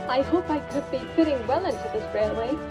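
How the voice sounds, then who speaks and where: A polite, curious young diesel engine, as a woman voices her in a fan cartoon, high and lively in tone. Loud music runs beneath her voice. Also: fairly quick. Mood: friendly. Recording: music underneath.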